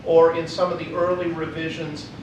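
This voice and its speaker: A man in the audience asks a long, winding question, feeling his way through it. His voice sits a bit high and reaches the mic from far off in a very roomy space. A rumble runs behind him. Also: little pitch movement, moderate pace. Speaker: audience member. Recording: echoey room from far off with rumble.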